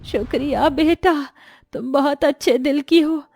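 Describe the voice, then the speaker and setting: Woman as a plaintive, high-voiced old grandmother. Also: unhurried, vocal fry, a little mellow, dry recording, soft background music. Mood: grateful, sorrowful. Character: poor old grandmother onion seller, distressed, grateful. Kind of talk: cartoon story voice acting.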